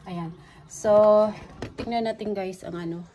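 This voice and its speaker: A woman's voice: medium pitch, fairly even intonation, a little slow. A middle-aged woman with a Filipino accent, chatting casually to viewers as a home baking vlogger, mixing two languages as she goes. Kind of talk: casual chat while baking, Tagalog-English code-switching. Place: small home kitchen, indoors. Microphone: phone at arm's length or propped nearby. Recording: clearly noisy home kitchen recording.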